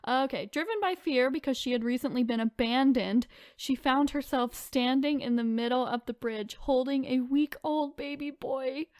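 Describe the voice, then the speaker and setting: A woman's voice: high-pitched, normal speed, few pauses, darkish tone, dry recording, clean studio sound. A woman hosting a podcast, chatting informally and reading spooky stories aloud with casual asides. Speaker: podcast host.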